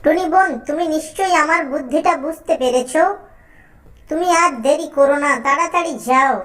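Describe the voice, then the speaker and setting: A crafty female bird friend from a children's cartoon, high in pitch, played by a woman. She sounds helpful and urging, calling out to others. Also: fairly quick, a bit bright.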